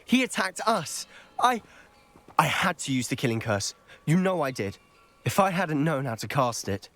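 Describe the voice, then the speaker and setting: A man playing a desperate, defiant teenage wizard boy in a video game, intense and halting delivery. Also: on the high side, very animated, a bit bright, soft background music. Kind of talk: dramatic video game acting.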